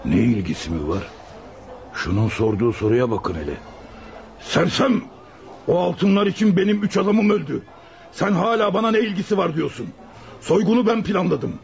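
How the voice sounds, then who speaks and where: Gravelly, menacing gang boss. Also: male voice, high voice, moderate pace. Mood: angry. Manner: taunting, commanding. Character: menacing male gang boss, bullying and hot-tempered. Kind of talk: Turkish radio theater acting. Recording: dull lo-fi radio recording.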